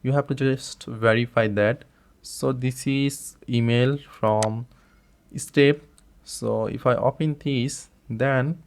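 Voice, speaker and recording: Bangladeshi man walking through a software setup. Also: mid-range voice, clean tone. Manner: plain step-by-step explaining with frequent fillers and restarts, non-native phrasing. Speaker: tutorial host. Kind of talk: screen-recorded software tutorial.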